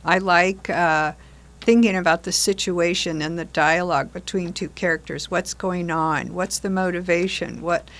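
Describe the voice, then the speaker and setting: A woman's voice: fairly low pitch, steady tone, moderate pace, a bit bright. An older woman talking conversationally as a member of a community theater group, explaining things and posing questions. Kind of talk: conversational discussion.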